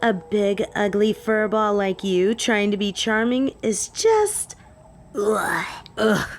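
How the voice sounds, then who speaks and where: A woman's voice: animated, takes it slowly. Woman voicing a snippy, swooping vampire woman. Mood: disgusted. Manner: snippy, indignant, higher-pitched. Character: haughty, jealous, easily flustered female vampire. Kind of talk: roleplay audio drama.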